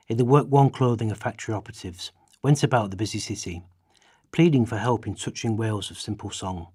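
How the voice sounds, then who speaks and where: A man playing an earnest radical orator and writer from history in a radio play, with deliberate, declamatory delivery. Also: fairly low pitch, darkish tone, slightly rough, no echo, studio quality.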